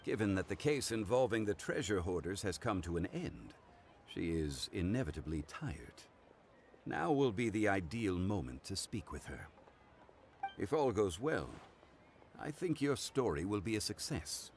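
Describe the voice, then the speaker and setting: An actor playing a wise, courteous gentleman adviser in a video game, speaking in a formal, measured, eloquent way. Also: on the low side, sing-song, a little hiss or hum. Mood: assured. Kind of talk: animated video game voice acting. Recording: loud music behind the voice. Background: music.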